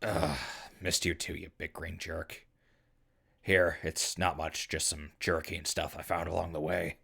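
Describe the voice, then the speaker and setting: Male actor as wasteland radio survivor: low, slightly gravelly, casual, dryly sarcastic, naturalistic. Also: flat delivery, a little slow, pushed and bright, exaggerated voice. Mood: wry, curious. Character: wry, weary male wasteland survivor running a radio station. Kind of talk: naturalistic audio drama acting.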